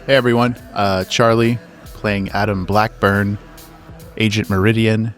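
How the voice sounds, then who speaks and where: A man's voice: lowish voice, level tone, a little slow. A man from France chatting casually as a player on a tabletop roleplaying podcast. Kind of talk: casual chat. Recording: music behind the voice. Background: music.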